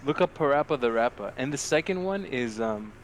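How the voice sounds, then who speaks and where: A man with an average-pitched voice chats casually as a podcast co-host. He jokes and swears freely while talking films and games. Some background noise sits under the recording. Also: lively intonation, a little fast. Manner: profane, joking.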